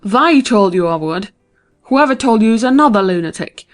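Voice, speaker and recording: A man's voice: sits high, animated, normal speed, voice pushed up. A male narrator voicing a fussy, hot-tempered older farm aunt who scolds and exclaims in folksy speech. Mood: indignant.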